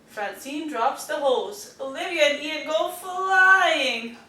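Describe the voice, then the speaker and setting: A woman reading children's stories aloud, with an expressive, deliberate storytelling manner for young listeners. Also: sits high. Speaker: storybook reader. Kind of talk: children's read-aloud narration. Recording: roomy, distant mic, faint noise.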